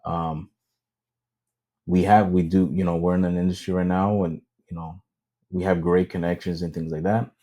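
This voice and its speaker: A man's voice: low-pitched, level tone, normal speed, mellow. A man co-hosting a casual podcast, talking in a hesitant way with many ums and slipping into Spanish now and then. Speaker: podcast co-host. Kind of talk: casual chat.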